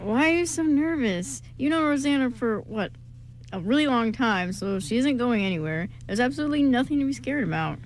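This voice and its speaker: Woman as a reassuring best man, higher voice. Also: lively intonation, a little fast, natural voice. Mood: puzzled, reassuring. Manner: casual, soothing, plain-spoken. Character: steady, reassuring best man, gently teasing friend. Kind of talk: amateur radio play reading. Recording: clearly noisy amateur recording.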